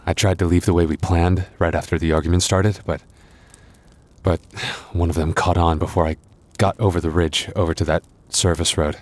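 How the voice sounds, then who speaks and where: A man playing an exhausted, wounded werewolf boyfriend in an ASMR roleplay, tender and reassuring, with halting, sighing, intimate delivery. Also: deep voice, little pitch movement. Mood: weary, recounting.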